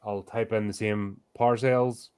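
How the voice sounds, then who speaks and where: A man's voice: on the low side, steady tone, measured pace, darkish tone, smooth. A man playing a tabletop roleplaying game, casually planning and narrating his own character's actions.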